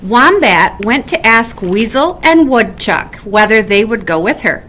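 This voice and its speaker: A woman with a fairly high voice reads a children's bedtime story. She takes it slowly with expressive rises and falls. The recording carries slight background noise. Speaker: storybook reader. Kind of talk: children's read-aloud narration.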